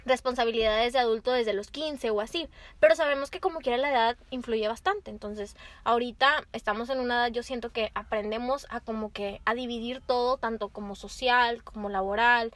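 A young Mexican woman with a high voice talks in a casual co-host style. She explains things in everyday slang, as if in conversation with peers. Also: a little fast, a bit bright, no echo. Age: early 20s. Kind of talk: casual chat.

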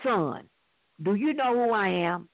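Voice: medium pitch, lively intonation, slow, no room sound. Stern major general on a phone line, read by a narrator. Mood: stern, threatening. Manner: commanding, formal. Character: stern major general, threatening and self-important. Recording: thin, dry phone-line sound.

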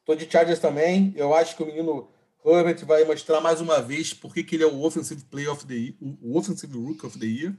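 Male host, teasing and upbeat, somewhat high husky voice. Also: steady tone, brisk, dark tone. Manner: playful, teasing, hands off questions. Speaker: podcast host leading the segments. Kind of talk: casual sports chat.

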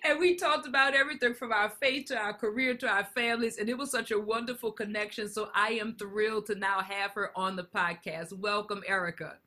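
A woman's voice: medium pitch, steady tone, a little fast, hardly any pauses. Upbeat woman hosting a podcast. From New Orleans. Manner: warm, upbeat, enthusiastic hosting. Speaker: podcast host. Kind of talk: faith and career podcast interview.

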